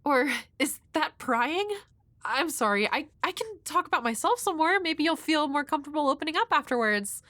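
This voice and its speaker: Woman acting a high-voiced, shy, flustered girl next door. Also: animated, bright, forceful tone, no echo. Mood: shyly chatty. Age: young adult. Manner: nervous, halting, hesitant with stammers. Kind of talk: intimate audio roleplay addressed to the listener.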